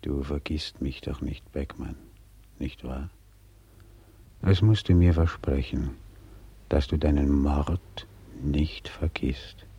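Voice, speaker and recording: Male ghost of a drowned man, reproachful, bottomless pitch, dark timbre, old radio drama. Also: steady tone, highs cut off, heavily acted. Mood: mournful reproach. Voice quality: very deep, husky, breathy at times. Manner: slow, deliberate, quietly accusing. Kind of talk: 1940s German radio drama acting. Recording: old 1940s radio recording.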